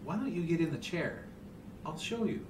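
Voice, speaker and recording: A man's voice: fairly high pitch, very animated, normal speed. A man playing a creepy, outwardly kindly dentist with unctuous, condescending persuasion in an audio story, recorded in a hotel room. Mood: coaxing. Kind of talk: hobby audio story reading. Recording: roomy hotel room, distant, noisy hum.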